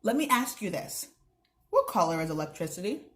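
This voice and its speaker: A woman's voice: on the low side, sing-song, brisk, fairly bright tone. A woman voicing a wise, teasing male mentor who instructs children in a storybook with leading questions. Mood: knowing.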